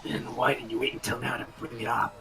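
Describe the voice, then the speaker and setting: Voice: medium pitch, level tone, quick pace, pushed and bright. A performer in an amateur game fan dub voicing an inquisitive young classmate who presses questions. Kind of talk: fan dub of an anime detective game, joking asides. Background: background music.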